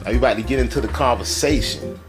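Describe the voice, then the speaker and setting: A man with a baritone voice hosting a stream, talking in an excitable, joking and profane way. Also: fast. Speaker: streamer host. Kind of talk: loud ranting commentary. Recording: music playing underneath.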